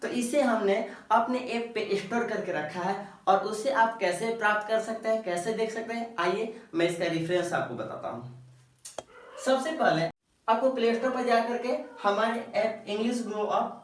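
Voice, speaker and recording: A male teacher explaining a lesson, repeating each sentence and asking rhetorical questions, often checking that the listener is following. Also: sits high. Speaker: English teacher. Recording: very echoey room, voice far from the mic.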